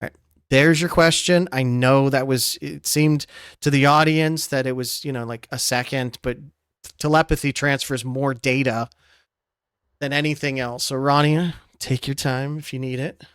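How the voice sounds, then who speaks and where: A male podcast host, chatting casually and joking freely with his guest. His voice is smooth and resonant, recorded dry and close with no room sound. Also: medium pitch, fairly even intonation, normal speed, a bit bright, no echo. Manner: jokey, laughs easily. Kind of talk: casual interview chat.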